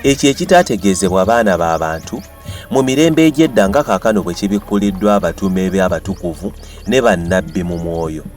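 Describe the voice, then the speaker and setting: A man's voice: deep voice, expressive, fast talker, a little mellow. A man with a Ugandan accent giving a dramatized reading of scripture as a Bible reader. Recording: music behind the voice. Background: music.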